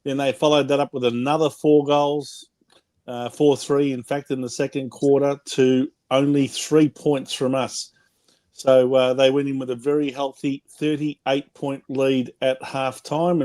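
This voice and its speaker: An Australian man on a casual panel show over a video call, friendly and quick to laugh while going through the scores. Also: mid-range voice, little pitch movement, a little mellow.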